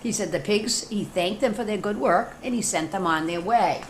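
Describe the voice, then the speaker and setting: A woman's voice: mid-range voice, moderate pace. Teacher lady reading a storybook to little kids, playful n chatty asides, bit of background noise. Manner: playful, addresses young listeners directly.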